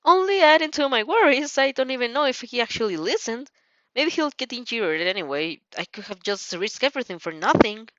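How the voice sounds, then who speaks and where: A woman voicing an earnest, excitable teenage heroine in an audio fan-fiction drama, polite and teasing with an eager, gushing delivery. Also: a little high, fairly quick, dry recording, dull audio, pitched above the performer's own voice. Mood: brooding.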